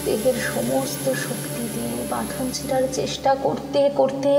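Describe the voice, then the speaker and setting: A woman narrating a horror audio story in an expressive, suspenseful way, sometimes dropping to a whisper. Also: sits high, moderate pace, gravelly. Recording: echoey reverberant studio mix with music.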